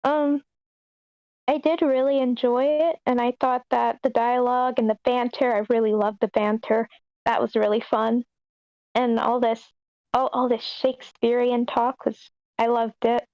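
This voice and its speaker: Casting: woman, informal and a little unsure, strong vocal fry, slightly dull call sound. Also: a little high, level tone, normal speed, dry recording. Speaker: table read participant giving feedback. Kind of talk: casual spoken feedback. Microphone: online meeting call.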